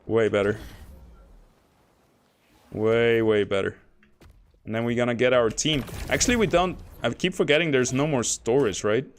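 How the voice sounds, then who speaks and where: A man streaming a game, talking casually in a soft, fairly monotone voice and rambling from one thought to the next. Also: mid-range voice, normal speed, long pauses, slight background noise. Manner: self-described monotone, soft bedroom voice; rambling, chuckles between remarks. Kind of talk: casual gameplay commentary. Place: home.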